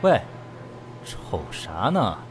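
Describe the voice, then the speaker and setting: A man's voice: fairly low pitch, very animated, very slow, noisy background, exaggerated voice. A man playing a brash, nosy garage mechanic in an audio drama, talking in a blunt, slangy, familiar way. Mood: blunt, teasing.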